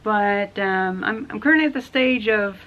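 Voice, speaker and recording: A woman's voice: medium pitch, moderate pace, muffled recording. A young woman recovering from a cold, rambling and correcting herself as she explains her building plans to the camera outdoors under a roof frame. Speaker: DIY builder vlogger. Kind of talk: casual talk to camera. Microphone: camera at close range.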